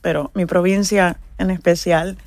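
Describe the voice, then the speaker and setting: A woman's voice: fairly low pitch, steady tone, unhurried, darkish tone, no room sound. A woman from Cuba with a Cuban accent, giving casual, slightly hesitant interview answers as a musician. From Holguín, Cuba. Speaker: musician, singer, interview guest.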